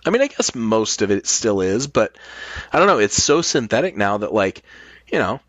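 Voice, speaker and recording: A man's voice: on the low side, sing-song, moderate pace, dry recording, muffled recording. A man in his late forties chatting casually and candidly as a podcast guest, swearing freely. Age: late 40s. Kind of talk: casual chat.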